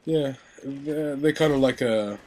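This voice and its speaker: A man's voice: mid-range voice, unhurried, dark tone, low-quality recording. A young man giving casual gaming commentary, rambling with many fillers and restarts, his nose still stuffy and his voice a little sore from illness.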